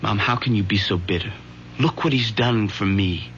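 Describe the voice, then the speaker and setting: A man's voice: on the low side, normal speed, fairly bright tone, a little hiss or hum. An actor playing a frightened, defiant young man who argues defensively with his mother, in an old radio drama. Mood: agitated. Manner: agitated and defensive. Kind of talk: 1970s radio drama acting. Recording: dull lo-fi old radio broadcast.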